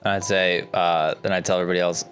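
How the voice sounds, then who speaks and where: A man's voice: low-pitched, level tone, quick pace, a bit bright, soft background music. A man playing a tabletop role-playing game with friends, talking casually in character in a joking, offhand way. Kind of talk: casual improvised role-play among friends.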